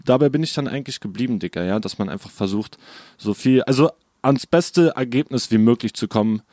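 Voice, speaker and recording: A man's voice: medium pitch, animated, brisk, mellow, no room sound, studio quality. A young man, a rapper and producer, chatting casually and rambling in slang in an interview. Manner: slangy, rambling, says "Digga" a lot.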